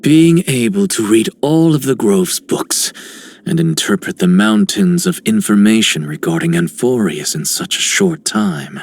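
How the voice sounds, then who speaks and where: A man voicing a calm, serious, scholarly young guardian with a measured, reserved manner in a video game. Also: on the low side. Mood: composed, earnest.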